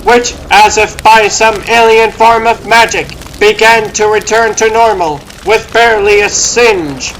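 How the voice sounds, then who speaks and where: A man's voice: high voice, steady tone, unhurried, few pauses, fairly bright tone, slight background noise, low-quality recording. A man playing a solemn storyteller, giving scripted dramatic narration for a superhero comic. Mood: solemn, wondering.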